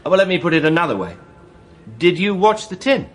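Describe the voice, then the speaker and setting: Cocksure British barrister, husky and animated. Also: male voice, high-pitched, a little fast, full character voice. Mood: cross-examining. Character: cocksure, suave male defence barrister, theatrical and sly. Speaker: defence barrister. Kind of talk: British courtroom radio drama.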